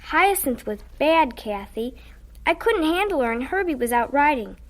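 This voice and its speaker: High-voiced, stubborn young girl. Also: expressive, moderate pace. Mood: tired, subdued. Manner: blunt, flippant, defiant. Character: sulky, stubborn young girl, schoolchild and daughter. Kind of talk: radio drama acting and first-person narration.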